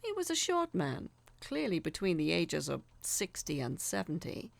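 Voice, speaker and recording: A woman narrating a scripted horror story as an awkward, obsessive young male peeping Tom, in a confessional, dramatic manner with breathy drops. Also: lowish voice, wildly swooping pitch, normal speed, no echo, exaggerated voice. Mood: confessional, awed.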